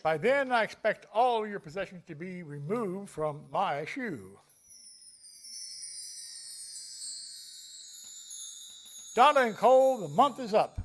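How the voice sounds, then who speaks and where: A man's voice: high voice, lively intonation, normal speed, a little hiss or hum. A man playing a showy host and narrator in a fairy-tale parody play, with emphatic, theatrical delivery. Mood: grand, bargaining.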